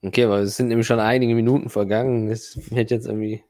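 Low-voiced man narrating a tabletop game. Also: flat delivery, quick pace, a little mellow. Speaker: game master. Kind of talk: improvised narration of a tabletop role-playing game.

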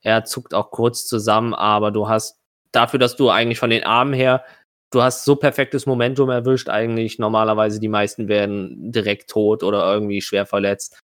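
A man's voice: fairly low pitch, level tone, brisk, a bit bright, no room sound, studio quality. A man running a tabletop game, improvising narration and rulings, often searching for his words. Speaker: game master.

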